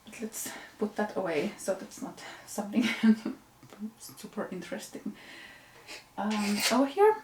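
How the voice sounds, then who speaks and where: A woman's voice: mid-range voice, expressive, measured pace, roomy sound, a little hiss or hum. A Finnish woman with a Finnish accent, a knitting podcast host, chatting casually with frequent fillers and self-corrections. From Finland. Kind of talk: casual show-and-tell chat.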